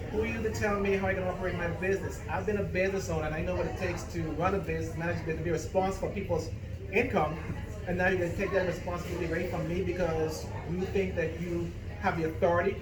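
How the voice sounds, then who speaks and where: A middle-aged man from the Bahamas, a political candidate, speaking earnestly at a neighborhood meet and greet and laughing easily in banter. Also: high voice. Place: gathering in a room with people around. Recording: echoey room, mic far off, crowd noise.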